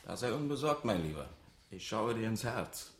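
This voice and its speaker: A man's voice: on the low side, sing-song, moderate pace. A man acting in a radio drama as a scheming, insistent office auditor who talks persuasively and conspiratorially. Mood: coaxing, pressing. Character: scheming, insistent male office auditor hiding a lottery win. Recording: roomy echo, faint noise.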